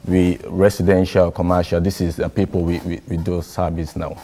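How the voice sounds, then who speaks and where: A young business owner speaks in a very low, mellow voice. His talk is relaxed and full of "you know", recorded on a lapel mic in a TV studio. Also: normal speed, a little hiss or hum. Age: young adult.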